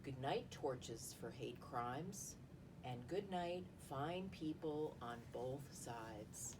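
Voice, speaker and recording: A woman's voice: animated, drawn-out words. A woman reading a picture book aloud in a bedtime read-along style. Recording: distant mic, noisy rumbling room.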